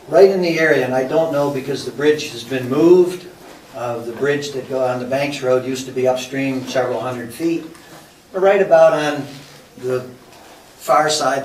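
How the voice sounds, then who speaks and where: Older man, central New York landowner, public hearing comment, plain unhurried talk, roomy hall echo. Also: medium pitch. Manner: plain, unhurried, conversational with pauses. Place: community center hall, indoors. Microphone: podium mic from a distance. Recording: echoey hall, distant mic, faint noise.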